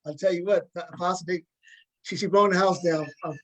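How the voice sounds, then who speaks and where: A man's voice: high voice, fairly even intonation, fast talker, poor audio. A man hosting a casual interview as a talk show host, effusive and often repeating his words.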